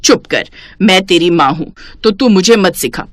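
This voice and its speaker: A sluggish, middle-aged mother-in-law from a cartoon moral tale, acted out by a woman. She grumbles, makes excuses and gives orders defensively, with a lively, swooping delivery in a dry recording. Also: on the low side, normal speed, dry recording, lightly acted. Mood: dismissive. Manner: excuse-making, grumbling, bossy. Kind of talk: Hindi cartoon moral story acting.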